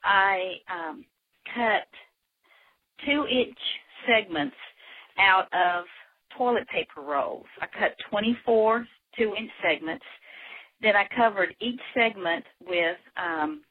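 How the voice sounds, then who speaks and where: An older woman from Texas leaving a phone-in message, walking through a craft project step by step with soft laughs. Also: mid-range voice, expressive, takes it slowly. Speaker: caller. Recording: phone line.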